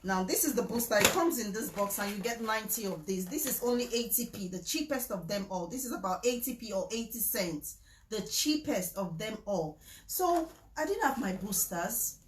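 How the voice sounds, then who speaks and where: A woman talks to the camera in a chatty, emphatic way, repeating her words for effect and laughing easily. She moves between a friendly sales pitch and church-style preaching, at a middle pitch. The room is bare and echoey. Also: expressive, moderate pace, slight background noise. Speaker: vlogger promoting supplements. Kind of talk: casual talk mixing a sales pitch with preaching.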